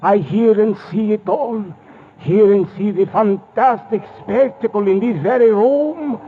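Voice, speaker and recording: An actor playing a grisly old Spanish servant in a radio mystery, formal and ominous, with a Spanish accent. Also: male voice, sits high, measured pace. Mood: fervent, awed. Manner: formal, deferential, storytelling with dramatic relish. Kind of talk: 1950s radio mystery acting. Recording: noisy, tinny 1950s radio recording.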